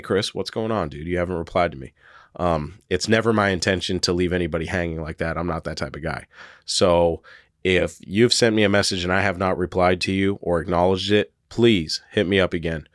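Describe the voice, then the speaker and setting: A man hosting a solo podcast, hoarse from a cold, chatting casually and rambling with lots of fillers and hedges. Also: sits low, dry recording, clean studio sound. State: hoarse, getting over a cold. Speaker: podcast host.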